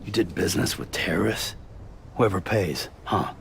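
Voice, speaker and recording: An actor playing a gruff, suspicious courier in a video game, with a gravelly voice and terse, accusing delivery. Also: male voice, low-pitched, normal speed, fairly bright tone, slight background noise. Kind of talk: video game cutscene acting.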